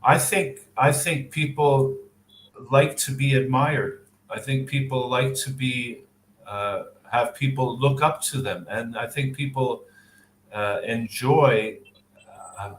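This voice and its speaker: A Canadian man speaks slowly on a video call, pausing to think and often restarting his sentences. His voice carries the echo of a bare room. A faint hum of ambience sits underneath. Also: mid-range voice, fairly bright tone. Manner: thoughtful, with frequent fillers and restarts. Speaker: interviewee. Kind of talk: answering mock speaking test questions.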